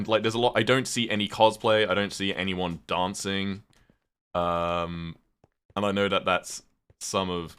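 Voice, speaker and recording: Low-voiced British man chatting on stream. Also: a bit bright. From Britain. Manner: rambling, chatty, lots of "um" and "like". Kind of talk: casual live-stream chat while gaming.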